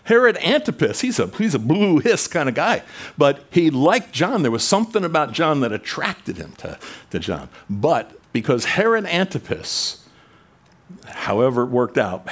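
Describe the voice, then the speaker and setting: A man preaching a sermon in a conversational way, rising in pitch and volume for emphasis. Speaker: pastor.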